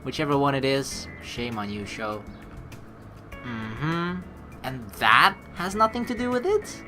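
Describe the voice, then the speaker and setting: A woman's voice: extremely low pitch, animated, slow. A woman doing a playful let's play, reading game narration aloud and adding teasing, jokey asides. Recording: music behind the voice. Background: game music.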